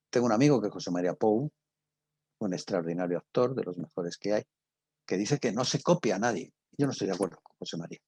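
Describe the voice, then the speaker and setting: Older Spanish man, deep husky voice. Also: warm tone, dry recording. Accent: Spain. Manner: halting, emphatic, repeats himself. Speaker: radio drama professor and guest speaker. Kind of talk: informal talk answering audience questions.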